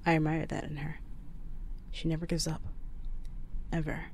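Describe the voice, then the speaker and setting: A man playing a subdued, soft-spoken underworld driver, hesitant and apologetic, in a roleplay audio drama. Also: fairly high pitch, completely flat delivery, normal speed, a little hiss or hum, lightly acted. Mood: subdued, reflective.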